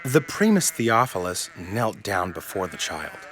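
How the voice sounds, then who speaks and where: A man's voice: low-pitched, big pitch swings, measured pace, a bit bright, slight background noise. A man giving scripted storybook narration as the narrator of a family tale.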